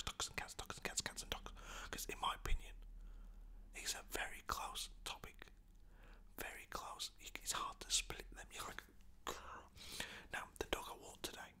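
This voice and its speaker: A man from Lancaster, softly whispering rambling stories about pets as a dog walker. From Lancaster, England. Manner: soft whispering, rambling and chatty. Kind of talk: whispered ASMR storytelling.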